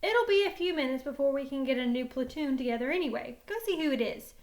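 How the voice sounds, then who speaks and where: Woman as a high-voiced sensible gamer boy. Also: lively intonation, fast. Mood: matter-of-fact. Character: sensible teenage boy gamer friend on headset.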